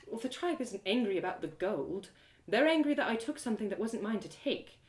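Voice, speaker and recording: A woman voicing an awkward, earnest elf woman in a storybook reading, with a hesitant, halting manner. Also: mid-range voice, sing-song, close to an everyday voice. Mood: defensive.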